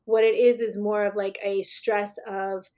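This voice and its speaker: A woman guest in a casual interview, her voice slightly raspy today, talking in a rambling way with many fillers and restarts. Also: mid-range voice, level tone, measured pace, warm tone. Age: adult, mother of children.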